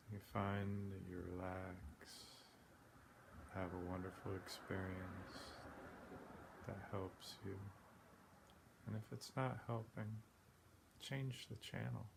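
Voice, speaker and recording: A man leading a guided meditation in a plain-spoken, unhurried way, leaving long pauses between phrases. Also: low voice. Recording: clearly noisy background ambience.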